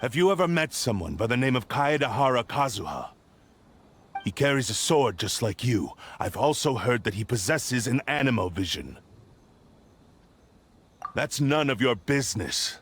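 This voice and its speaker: A cold, brooding swordsman, voiced by a man, clipped and dismissive, his pitch shifting widely between low mutters and sharp questions. Faint ambience hangs behind him. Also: sing-song, normal speed, slight background noise, natural voice. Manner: curt, dismissive, aloof.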